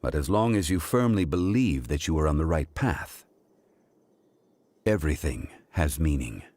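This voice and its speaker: Ancient god, deep and solemn. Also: male voice, lively intonation, darkish tone, no room sound, heavily acted. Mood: grave, reflective. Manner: measured, formal, grave delivery. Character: calm, ancient male god, solemn and weary. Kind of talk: video game voice acting.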